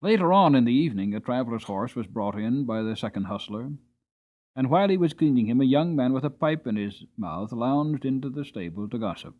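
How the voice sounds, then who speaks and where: A man reading a classic novel aloud in a dramatic, expressive storybook style, in a slightly dull recording. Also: mid-range voice, a little fast. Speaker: audiobook reader.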